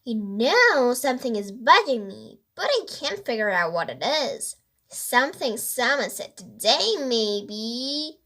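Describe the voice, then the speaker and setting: Woman as an eager, high-pitched girl sleuth. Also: big pitch swings, slow, sharp tone, heavily acted. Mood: puzzled. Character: bossy, inquisitive young girl sleuth.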